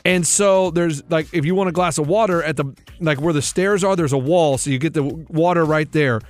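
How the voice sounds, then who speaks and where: A man co-hosting a sports podcast, excitable and repetitive, telling casual comic stories and acting out the dialogue. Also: on the high side, a little fast, faint music behind. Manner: excitable, repeats himself, acts out dialogue. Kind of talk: casual comic banter and storytelling.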